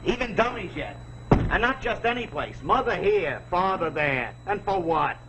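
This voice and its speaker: An actor playing a cynical, griping army worker with a colloquial, sardonic manner in a 1940s television drama. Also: male voice, sits high, lively intonation, moderate pace. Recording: noisy 1940s TV soundtrack.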